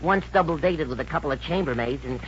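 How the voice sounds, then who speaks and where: A man's voice: a little high, quick pace, close to an everyday voice. Man playing a hapless young American pal, muffled radio. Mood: earnest. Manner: hesitant, naive, gee-whiz. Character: hapless, dim, well-meaning young male pal. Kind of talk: 1950s radio comedy acting. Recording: dull, hissy old radio broadcast.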